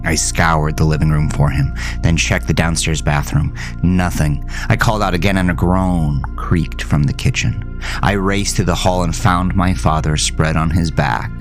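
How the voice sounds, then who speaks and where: A man's voice: very deep voice, steady tone, normal speed. A man narrating a first-person horror audio drama in a somber, literary storytelling manner. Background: music.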